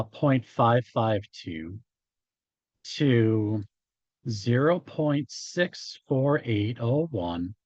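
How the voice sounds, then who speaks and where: A man giving an informal statistics lecture as a college instructor, his voice a bit hoarse from talking, explaining in a halting way. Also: medium pitch, no echo, lo-fi sound. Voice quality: slightly hoarse. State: hoarse after hours of talking. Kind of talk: informal statistics lecture, working through examples.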